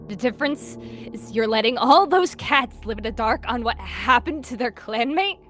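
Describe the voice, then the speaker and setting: A woman voicing a stubborn, grieving young male apprentice cat who shouts and stammers, with faint music behind. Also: on the high side, animated, moderate pace, voice pushed up. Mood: accusing.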